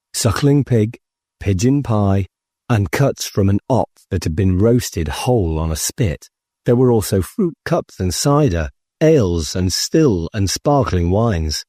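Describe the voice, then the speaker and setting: A man reading a first-person story as an audiobook narrator, in a measured, deliberate manner. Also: lowish voice, dark tone, dry recording, studio quality.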